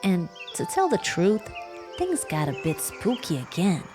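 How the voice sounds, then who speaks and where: A woman narrates a children's bedtime tale, speaking directly and warmly to young listeners. Her pitch rises and falls in an animated, expressive way. Background music accompanies her throughout. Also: unhurried. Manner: warm, animated storytelling addressing children. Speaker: storyteller.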